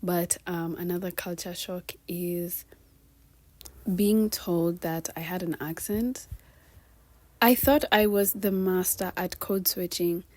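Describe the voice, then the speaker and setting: A woman's voice: fairly low pitch, slow, no echo. A young woman with a Kenyan-tinged American accent, chatting casually to camera and acting out the voices of people she quotes. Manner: animated, acts out quoted voices and laughs often. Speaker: vlogger.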